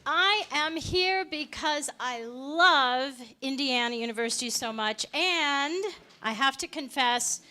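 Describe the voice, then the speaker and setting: Female presenter, bright and a bit high-pitched; chatty, jokes in asides. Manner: chatty and joking, quick asides. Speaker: panel presenter. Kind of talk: lively presentation talk.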